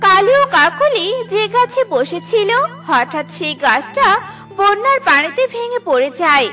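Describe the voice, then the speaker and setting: A woman's voice: extremely high pitch, very animated, unhurried, loud music behind the voice. A woman narrating a scripted children's story.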